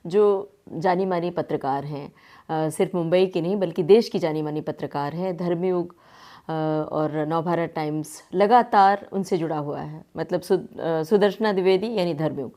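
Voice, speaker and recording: A woman's voice: fairly low pitch, moderate pace, dark tone. A woman hosting a conversational radio interview, asking questions in a courteous manner. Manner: courteous, frequent listening murmurs.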